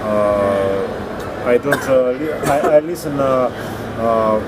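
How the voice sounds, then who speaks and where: Russian-speaking man over loud background noise. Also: medium pitch, takes it slowly. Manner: hesitant, reading notes with fillers. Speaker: co-host. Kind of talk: casual chat reviewing startups. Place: indoor atrium of a large building.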